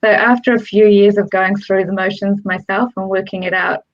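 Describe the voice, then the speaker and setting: A woman's voice: medium pitch, normal speed. Middle-aged woman coach on a dull call. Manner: relaxed, many fillers and restarts. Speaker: divorce and relationship coach. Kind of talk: casual panel talk. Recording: dull lo-fi video call audio.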